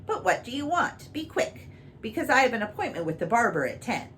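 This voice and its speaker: A woman's voice: a little high, big pitch swings, a little fast, fairly bright tone, a little hiss or hum, pitched above the performer's own voice. A woman doing a pompous, blustering storybook king. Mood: pompous, irritable. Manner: blustering, irritable, grand. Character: pompous vain fussy king, man, middle-aged.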